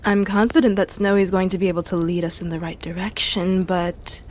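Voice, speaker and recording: A woman's voice: fairly low pitch, little pitch movement, fairly quick, natural voice. A woman voicing a shy, easily flustered adventurer in a tabletop game, hesitant and stammering when teased. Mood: rueful.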